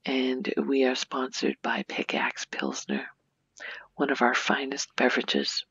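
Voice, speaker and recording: A soft, breathy woman gives an unhurried ASMR game tour, often dropping near a whisper. Her voice has a creaky edge, and the close, dry recording is slightly muffled. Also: a bass voice, big pitch swings, no room sound. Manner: gentle, unhurried, hushed. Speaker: video creator giving a game tour.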